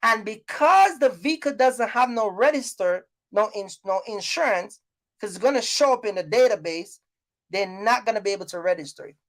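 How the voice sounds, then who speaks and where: A woman's voice: mid-range voice, animated, moderate pace. A woman hosting a casual, unscripted advice vlog, speaking bluntly and repeating points for emphasis in a scolding way. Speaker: YouTube host giving trucking business advice. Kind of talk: casual unscripted rant to viewers.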